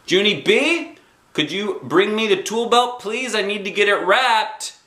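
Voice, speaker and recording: A strict, exasperated mom acted by a male reader, hollering in a raised, bright voice. Her pitch swoops with stern emphasis, as in a storybook told aloud in an echoey room. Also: animated, measured pace, exaggerated voice. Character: exasperated, strict mother scolding her young daughter.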